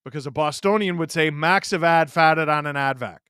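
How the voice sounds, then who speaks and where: A man's voice: a little high, a little fast, pushed and bright, clean tone, dry recording, studio quality. A man in his thirties from Canada hosting a live hockey watch-along, joking with chat and reacting to plays. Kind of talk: casual live-stream commentary.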